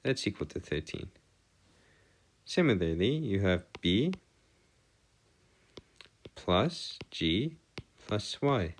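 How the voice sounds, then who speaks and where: A man giving a step-by-step math lesson as a tutor, halting and pausing to check himself, with formal, bookish wording. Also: lowish voice, big pitch swings. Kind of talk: lesson, working through equations step by step.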